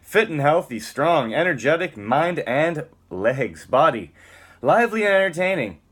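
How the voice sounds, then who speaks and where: A man's voice: a little high, sing-song, unhurried, fairly bright tone, husky. A man giving a dramatic, theatrical spoken-word reading, moving between emphatic lines and muttered casual asides.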